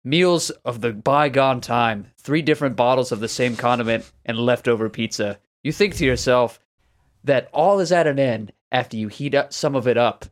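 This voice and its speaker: A man's voice: medium pitch, moderate pace. A man co-hosting a podcast, chatting casually and then narrating a story in a grandiose, dramatic manner. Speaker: podcast host.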